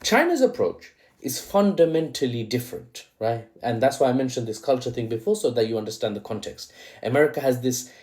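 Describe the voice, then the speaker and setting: A man's voice: mid-range voice, expressive, a little fast, a little mellow. A man with a mixed British accent giving chatty, opinionated political commentary with rhetorical asides and slang. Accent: British, with a mixed Indian-tinged lilt. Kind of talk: casual commentary video.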